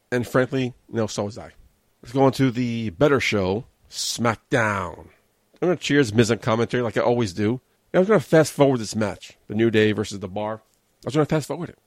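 A man's voice: mid-range voice, no echo. Opinionated American man, dry close recording. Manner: opinionated, rambling, sardonic. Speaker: host of a wrestling review show. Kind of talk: casual solo commentary on wrestling shows.

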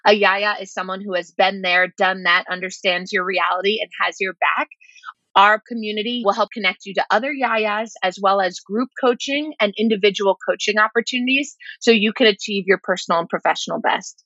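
A woman's voice: medium pitch, a little fast, fairly bright tone, no room sound, muffled recording. A woman guest giving a polished, enthusiastic introduction to her project. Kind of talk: pitching a community app.

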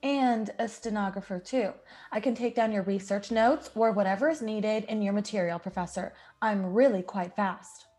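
A woman's voice: medium pitch, normal speed, a little mellow, natural voice. A woman playing an eager, polite, earnest young woman in an old-time radio play. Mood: eager, nervous.

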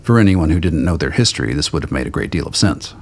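A man reading scripted dramatic fiction as a narrator, with a gravelly, husky voice. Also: very deep voice, lively intonation, fast talker.

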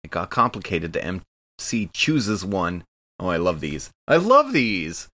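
A man's voice: low-pitched, sing-song, measured pace, bright, forceful tone, no echo. A man playing a tabletop roleplaying game, casually and playfully describing what his character does. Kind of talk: casual improvised game narration.

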